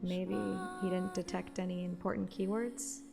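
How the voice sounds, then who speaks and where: A woman narrates a romantic fantasy story in a voice of ordinary pitch with some creak at the edges. She reads as an informal storyteller, with clear music running behind her. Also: little pitch movement, moderate pace. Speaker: fan audiobook narrator and podcast host. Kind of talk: reading a romantic fantasy game story aloud, narration with casual asides.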